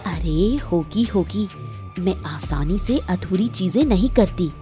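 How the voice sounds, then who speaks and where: Woman acting a mellow-voiced, bossy scheming cartoon wife. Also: on the low side, lively intonation, slow pace, faint music behind. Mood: coldly scheming. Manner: bossy, self-assured. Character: scheming, cunning, ruthless wife plotting against her in-laws. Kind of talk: Hindi cartoon story voice acting.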